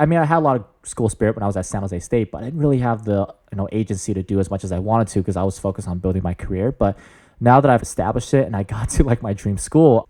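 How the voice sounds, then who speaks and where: A man in his thirties with a booming voice, talking earnestly and enthusiastically about student leadership in an interview. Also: dark tone. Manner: earnest, upbeat, enthusiastic with frequent fillers. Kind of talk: interview answers.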